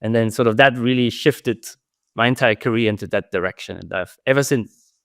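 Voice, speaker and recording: A man's voice: mid-range voice, fairly quick, creaky, no echo, studio quality. A middle-aged man from Austria with an Austrian German accent, a native German speaker, answering interview questions thoughtfully and trailing off at the ends of phrases. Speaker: security researcher guest.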